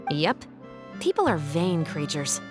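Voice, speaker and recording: A woman's voice: low voice, sing-song, exaggerated voice. A woman voicing a composed, dryly teasing captain of a musketeer patrol in an anime game. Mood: explaining. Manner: measured, dryly teasing, authoritative. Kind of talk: anime game character dialogue. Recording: game music behind.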